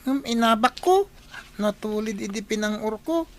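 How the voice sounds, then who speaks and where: Woman playing a small boy, high-pitched, innocent and eager, for radio drama. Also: expressive, moderate pace, a little hiss or hum, lightly acted.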